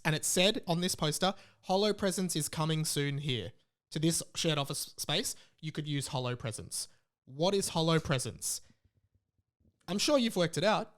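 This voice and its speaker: A young Australian man with a fairly high voice hosts a podcast. He rants casually and comically, exaggerating and hammering points home by repeating them. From Melbourne, Australia. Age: late 20s. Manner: animated, exaggerating, emphatic repetition. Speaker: podcast host. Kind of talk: casual comedic rant.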